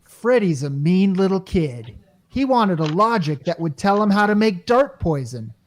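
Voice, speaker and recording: An actor playing a frantic everyman repairman and husband in a radio comedy, excitable, slangy and stammering. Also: sits high, measured pace. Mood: exasperated. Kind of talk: 1950s radio comedy acting.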